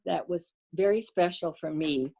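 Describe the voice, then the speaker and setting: An older woman, born to a Danish-speaking family, reminiscing about her community in a casual interview, pausing often with fillers and restarts. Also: lowish voice, creaky, faint music behind. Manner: warm storyteller, halting with fillers and restarts. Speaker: guest.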